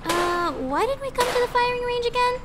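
Squeaky, nervous rookie policewoman. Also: brisk, very noisy. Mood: pouty, chatty. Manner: girlish, excitable, questioning. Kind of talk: audio drama acting.

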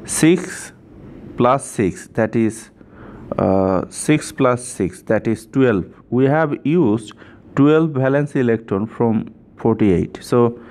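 A man's voice: mid-range voice, animated, slow pace, darkish tone. A man giving an informal step-by-step chemistry tutorial, repeating himself and often checking in with "right?".

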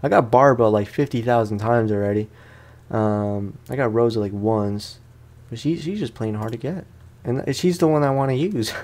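A man's voice: medium pitch, creaky, a little mellow. A man giving casual let's play commentary on a video game, chatting to viewers in slangy language. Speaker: gaming YouTuber.

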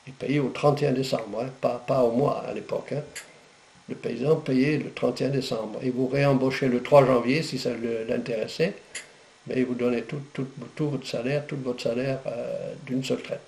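Older man, Breton native, gravelly, halting reminiscing, echoey living room. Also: mid-range voice, steady tone, a little mellow. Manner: halting, many pauses and fillers. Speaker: veteran interviewee. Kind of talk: recounting memories in an interview.

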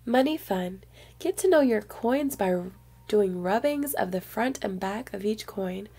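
A woman reading a picture book aloud to young children in an expressive storyteller's manner. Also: mid-range voice, a little slow, breathy edge.

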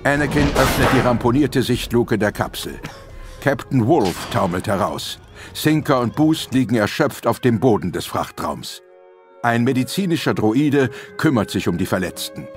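Ein Mann liest als Erzähler den Text eines dramatischen Hörspiels. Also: male voice, mid-range voice, animated, moderate pace, faint music behind. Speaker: narrator. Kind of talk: scripted dramatic audio drama narration.

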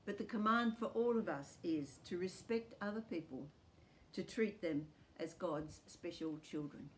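An older woman with an Australian accent gives a calm, earnest home church talk outdoors in a rural backyard. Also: lowish voice, a little slow. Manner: calm, earnest teaching delivery.

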